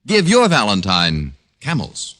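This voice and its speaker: A man's voice: lowish voice, wildly swooping pitch, measured pace, fairly bright tone. An American man announcing and selling in hearty mid-century radio advertising style. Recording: old radio recording with music behind.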